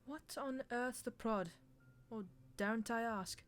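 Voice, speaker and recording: A woman's voice: a little high, slow, pitched above the performer's own voice. A woman reading an audiobook voices a mild, inquisitive man who asks questions politely. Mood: puzzled.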